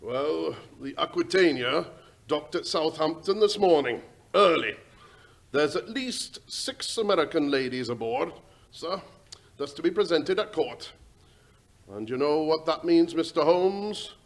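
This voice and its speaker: A man's voice: fairly high pitch, expressive, measured pace, creaky. A man playing a deferential, worried working-class English railway station master in an old-time radio drama, speaking hesitantly and respectfully in a folksy way. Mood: puzzled, earnest.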